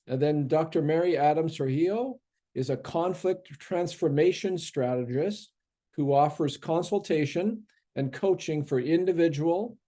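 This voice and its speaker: A man's voice: on the high side, warm tone. A man moderating a seminary panel on humility, introducing the speakers with many fillers and restarts.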